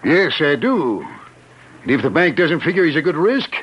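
Animated, plain-spoken frontier sheriff. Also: male voice, fairly high pitch, moderate pace, husky. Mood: plain-spoken, firm. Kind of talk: old-time radio western acting. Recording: muffled, noisy old radio recording.

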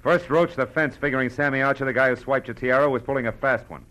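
An actor playing a tough, wry American private detective in 1940s radio style, clipped and wisecracking. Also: male voice, mid-range voice, fast, fairly bright tone, close to an everyday voice. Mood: tough, pressing. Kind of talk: 1940s radio detective acting and first-person narration. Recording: old radio drama with music behind.

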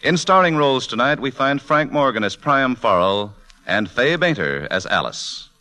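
A man's voice: mid-range voice, sing-song, normal speed, pushed and bright. A man announcing a 1940s radio broadcast in a grand, emphatic scripted style.

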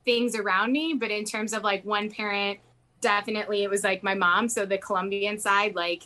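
Bright-voiced young woman, chatty and rambling. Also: mid-range voice, fairly even intonation, normal speed. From Mexico. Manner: rambling, heavy use of "like" fillers. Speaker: interviewee. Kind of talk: casual conversational interview.

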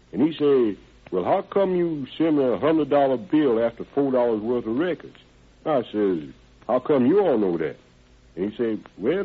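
A man's voice: mid-range voice, breathy edge. An actor playing an evasive, deferential working man with folksy, ungrammatical speech and polite yes-sirs, in an old radio drama style. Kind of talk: 1940s-50s radio drama acting. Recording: tinny band-limited old radio recording.

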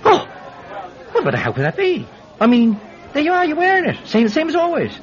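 A man's voice: lowish voice, a little slow. An actor playing a chatty, scheming Irish villager who tells his tale in a folksy, blustering way in a radio drama. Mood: flustered, evasive. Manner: animated, folksy, stammering when flustered. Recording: dull, noisy radio-drama recording.

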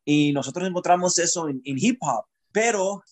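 A man from Chicago talks as a guest poet in a relaxed interview. He thinks out loud, feeling his way toward each point. His voice sits somewhat high for a man. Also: normal speed. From Chicago, United States. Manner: reflective, searching for words. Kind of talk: conversational interview.

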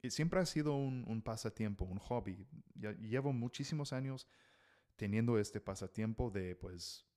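A man's voice: fairly low pitch, normal speed, warm tone. A male podcast host talking casually to language learners, with lots of fillers, restarts and Mexican slang. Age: adult, married. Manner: chatty, full of fillers and self-corrections. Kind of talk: casual solo podcast talk.

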